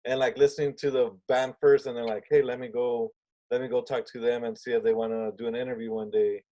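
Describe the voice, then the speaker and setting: A man's voice: mid-range voice, flat delivery, fast talker, mellow, low-quality recording. A man hosting a music podcast, asking questions casually and hesitantly, with many fillers and restarts. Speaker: podcast host. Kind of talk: casual interview.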